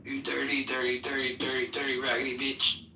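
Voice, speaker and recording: A man with a raspy voice, cursing bluntly in a group voice chat. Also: medium pitch, monotone, a little fast, heavy background noise. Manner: crude and cursing. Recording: echoey, distant group-call audio.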